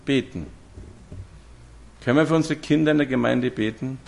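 A man's voice: medium pitch, normal speed, vocal fry, darkish tone. A man, preaching a sermon to a congregation and telling stories with direct questions to his listeners. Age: 50s.

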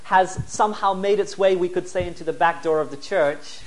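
A young man in his twenties from New Zealand, preaching to a church in short, friendly phrases and stopping after each one for his interpreter. His voice sits a little higher than most men's. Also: low-quality recording. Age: 20s. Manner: friendly, speaks in short phrases with pauses for translation. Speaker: evangelist preacher. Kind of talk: sermon given through an interpreter.

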